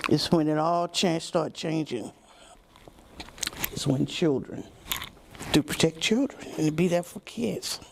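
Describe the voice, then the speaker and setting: A woman's voice: low voice, expressive, measured pace, creaky, long pauses. A woman talking reflectively and off the cuff. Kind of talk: unscripted reflective talk. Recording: noisy room with background ambience.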